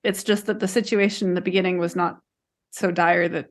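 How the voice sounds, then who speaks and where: A woman's voice: fairly low pitch, fairly even intonation, fairly quick. A woman co-hosting a book discussion podcast, talking casually, pushing back and carefully qualifying her points. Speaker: podcast co-host.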